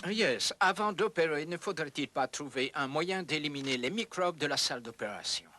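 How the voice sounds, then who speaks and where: A man playing a thoughtful, courteous English gentleman surgeon in a dubbed educational cartoon, reasoning in a formal way. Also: fairly high pitch, expressive, normal speed. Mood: earnest, thoughtful.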